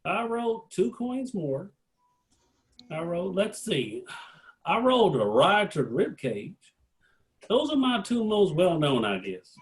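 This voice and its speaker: Man playing a high-pitched, touchy cowboy balladeer. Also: sing-song, slow, long pauses, heavily acted. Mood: modest. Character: proud, touchy cowboy balladeer in a fantasy western. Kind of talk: screenplay table read.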